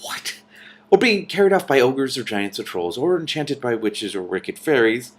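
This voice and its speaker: A man reading a novel aloud as host, with animated, casual reactions between passages. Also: medium pitch, normal speed.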